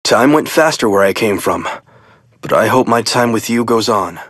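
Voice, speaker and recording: A man voicing a devoted, smooth-talking young nobleman in a fantasy game, suave and expressive. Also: lowish voice, moderate pace, no echo, studio quality. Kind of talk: game voice acting.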